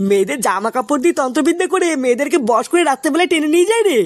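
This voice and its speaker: A woman playing a know-it-all village man from a comic cartoon. The voice is high and lively, rattling through superstitious warnings with faint noise behind. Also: very fast. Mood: ominous. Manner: cautionary, explaining.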